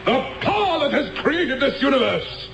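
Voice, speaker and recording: An actor playing a middle-aged messenger, declaiming fervently and commandingly to a crowd in a radio drama. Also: male voice, very high voice, sing-song, normal speed, creaky, dull audio, a little hiss or hum, heavily acted. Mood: grandiose. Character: dynamic middle-aged male messenger preaching doom to a crowd. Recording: echoey auditorium, loud music, vintage radio.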